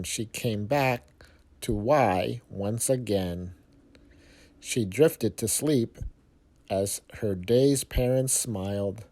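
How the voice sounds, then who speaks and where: A man with a soft, mellow tone reads a rhyming children's book aloud. He takes his time, leaving pauses and stressing key words for young listeners. His voice sits at a middling male pitch. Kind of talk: expressive children's read-aloud of rhyming verse.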